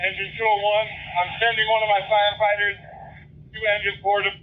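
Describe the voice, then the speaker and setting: Tinny radio, high-pitched male firefighter. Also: level tone, a little fast. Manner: clipped, procedural. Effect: two-way radio. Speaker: firefighter reporting to command. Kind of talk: fire radio traffic. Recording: narrow tinny fireground radio transmission.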